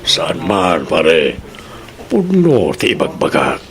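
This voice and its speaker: Radio drama boss, Filipino accent, male actor playing a chummy teaser with a low voice and unhurried delivery. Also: wildly swooping pitch, exaggerated voice. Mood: teasing. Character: jovial married male office boss, teasing friend.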